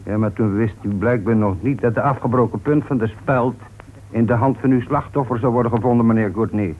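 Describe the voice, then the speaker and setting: An actor playing a methodical, confident police inspector in a radio detective drama, speaking formally and deliberately, with an accusing manner. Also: male voice, medium pitch, lightly acted. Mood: accusing, triumphant. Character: methodical, confident male police inspector closing a murder case. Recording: tinny, noisy old radio recording.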